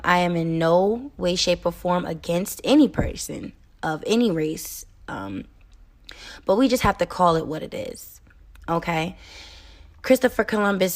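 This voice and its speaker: Earnest, emphatic American woman, lowish voice. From United States. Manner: earnest and emphatic, with frequent "um" and "okay?". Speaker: podcast host. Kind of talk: solo podcast commentary.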